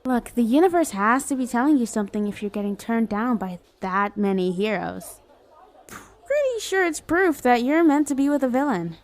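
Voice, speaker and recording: A woman's voice: a little high, very animated, normal speed, a faint crowd. A woman playing a flirty, self-justifying villain in an ASMR roleplay, talking in a casual, playful, sardonic way. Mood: flirty, persuasive. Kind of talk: acted ASMR roleplay monologue.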